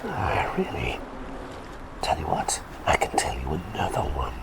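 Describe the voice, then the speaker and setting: A man voicing a quirky male talking bird plague doctor, telling rambling bedtime stories in a soft ASMR whisper. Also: huge pitch swings, measured pace, exaggerated voice. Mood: gentle whisper. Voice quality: deep creaky whisper. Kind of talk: ASMR roleplay bedtime storytelling.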